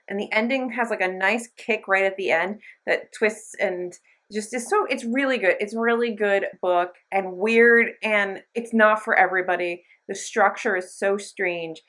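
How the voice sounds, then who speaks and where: A woman's voice: medium pitch, a bit bright. A woman hosting a book review channel, chatting casually to camera in a gushing, emphatic, informal way with mild slang.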